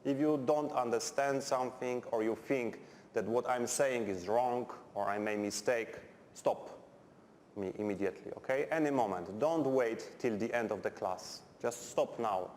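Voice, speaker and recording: A man's voice: mid-range voice, normal speed, a little mellow. A middle-aged Polish man with a Polish accent, a non-native English speaker giving a university chemistry lecture in a blunt, direct way with dry humour. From Poland. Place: lecture hall. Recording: echoey lecture hall, faint background noise.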